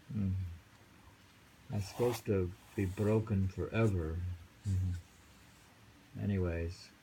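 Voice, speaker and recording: Slow, gravelly man musing aloud. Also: sits low, steady tone, dark tone, slight background noise, rough recording. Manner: slow, musing, with chuckles and hums. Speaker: reader and host. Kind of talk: casual chat and poetry reading. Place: home in New York City on a rainy day.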